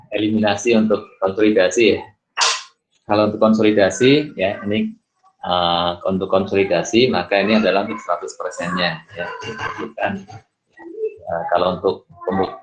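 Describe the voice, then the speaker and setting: A man's voice: low voice, highs cut off. A man giving an informal worked-solution lesson as a lecturer, thinking aloud step by step and often asking himself questions before answering. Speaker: accounting lecturer.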